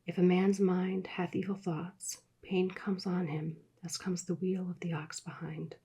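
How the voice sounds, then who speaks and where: A woman reading aloud in a soft, soothing, soft-spoken ASMR style that often drops into whispers. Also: lowish voice, level tone, husky. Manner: soft, slow, drifting into whispers. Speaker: ASMR reader.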